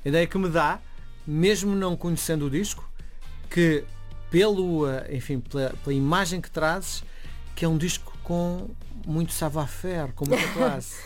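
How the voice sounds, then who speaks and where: A man's voice: fairly high pitch, moderate pace, faint music behind. A man with a European Portuguese accent, hosting a radio interview and reading the weather in a conversational way. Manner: conversational, probing questions. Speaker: radio host.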